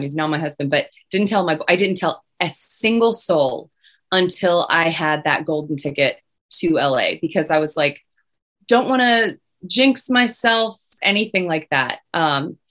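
A young woman singer with a low voice, chatting casually as an interview guest. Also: a bit bright. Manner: chatty, many restarts and fillers.

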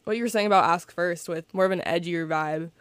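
A young podcast host asking questions in a relaxed, supportive way, with "like" and "um" fillers. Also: medium pitch, a little fast, smooth, dry recording. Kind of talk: casual podcast interview.

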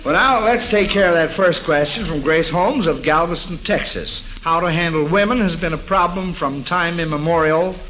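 Bright, high-pitched American radio quizmaster man. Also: normal speed, few pauses, low-quality recording. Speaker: quiz show host. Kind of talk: mid-century radio quizmastering. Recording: vintage radio recording, faint noise.

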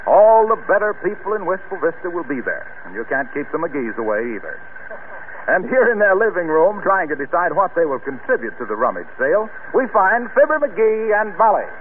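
A man announcing in a scripted vintage radio style, polished and emphatic with deliberate phrasing. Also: high-pitched, sing-song. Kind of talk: 1930s-40s scripted radio announcing and sponsor pitch. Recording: tinny, faintly noisy old radio broadcast.

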